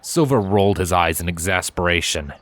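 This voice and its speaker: Casting: male story narrator, lower-pitched voice, expressive scripted read of fan fiction. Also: fairly quick, no room sound.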